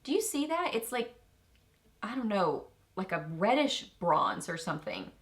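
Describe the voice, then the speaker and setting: A woman with a Texan accent chatting through a casual makeup review, bubbly and easily excited, with rambling asides. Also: medium pitch, moderate pace. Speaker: beauty vlogger.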